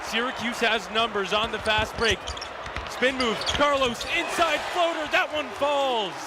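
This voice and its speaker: High-pitched male basketball play-by-play announcer over loud arena. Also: a little slow, over a noisy crowd. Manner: energetic, rising excitement on big plays. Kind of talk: live play-by-play commentary. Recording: loud arena noise behind the voice. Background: basketball arena with bouncing ball.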